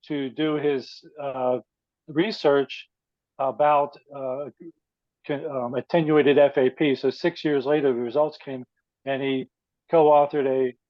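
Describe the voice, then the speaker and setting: A man in his fifties giving a measured, plainspoken personal talk to a support group, pausing often with fillers. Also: medium pitch, no room sound, highs cut off. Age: 50s. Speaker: guest speaker.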